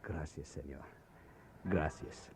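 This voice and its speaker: A man playing a proud, desperate middle-aged father with a Mexican accent in a 1950s radio drama, speaking in halting, florid English. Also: rumbling low, a little mellow, slightly rough, no room sound, exaggerated voice. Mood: humble pleading. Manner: halting, florid, impassioned foreign-English phrasing. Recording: dry, dull vintage radio recording.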